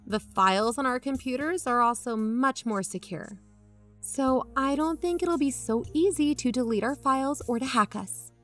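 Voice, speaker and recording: A woman's voice: high-pitched, moderate pace, a bit bright. A woman playing an earnest, dry-humoured investigator who co-hosts a podcast, narrating in a plain-spoken, conversational way. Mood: dry, assured. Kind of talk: conversational scripted audio drama narration. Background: background music.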